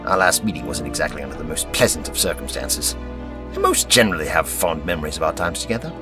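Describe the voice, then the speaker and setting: An actor as a gentlemanly male adventurer in an audio drama. His voice is extremely deep and very animated in pitch. He speaks with gentle, old-fashioned formality, music playing clearly behind him. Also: fast. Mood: apologetic. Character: gentlemanly, apologetic time-travelling male adventurer.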